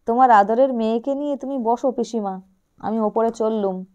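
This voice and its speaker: A woman's voice: fairly high pitch, moderate pace, darkish tone, clean tone, lo-fi sound, close to an everyday voice. A woman voicing a young man from the city, a teasing nephew in a storybook, in a light, playful way.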